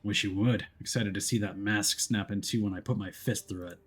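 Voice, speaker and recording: A man voicing a stubborn, hard-bitten male detective in a visual novel, with a gruff, terse delivery. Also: fairly low pitch, steady tone, fairly quick, fairly bright tone. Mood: defiant. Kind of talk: visual novel character reading.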